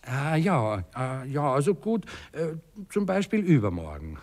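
An actor playing a polite, affected count in a radio play, halting, courteous and evasive, with an Austrian accent. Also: male voice, mid-range voice, very animated, a little mellow. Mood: hesitant, evasive. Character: hesitant, polite, affected male aristocrat, a count. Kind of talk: intimate radio play acting.

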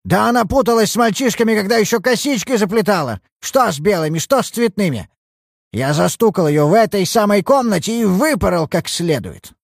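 A man voicing a self-pitying, hot-tempered elderly father with a shrill, quavering voice. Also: lively intonation, brisk, gravelly, no echo, studio quality, heavily acted. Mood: scornful.

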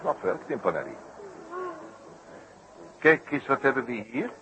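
Expressive detective in noisy old radio play. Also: male voice, mid-range voice, measured pace. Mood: inquiring. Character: composed, wry detective questioning witnesses. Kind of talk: 1960s radio play acting. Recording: muffled, noisy 1960s radio recording.

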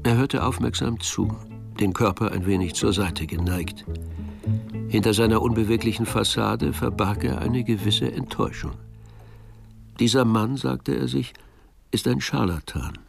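Low, gravelly male storyteller over music. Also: measured pace. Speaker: narrator. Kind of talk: scripted literary narration for a radio drama.

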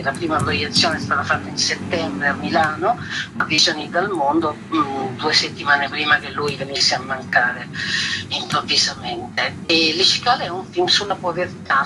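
A woman being interviewed as an exhibition curator, explaining in an earnest, reflective way with searching pauses. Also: sits low. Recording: clearly noisy background.

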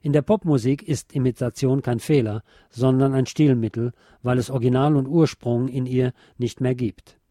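A man presenting on the radio, reading a nonfiction book chapter aloud in a measured, bookish way. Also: mid-range voice, fairly even intonation, warm tone, no echo, studio quality.

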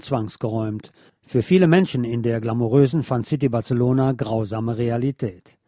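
A man presenting a scripted film review as a radio host, in a measured, explanatory way. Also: mid-range voice, dark tone, dry recording, studio quality.

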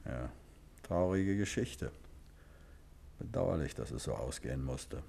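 An actor playing a composed gentleman detective in a 1950s radio play, speaking in a calm, measured and quietly ironic way. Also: sits low, vocal fry, a little hiss or hum, exaggerated voice.

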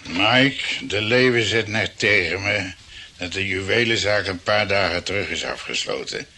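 An actor playing a dry, sceptical detective agency partner in a radio drama, matter-of-fact. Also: male voice, low-pitched, animated, measured pace, noisy background. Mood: businesslike.

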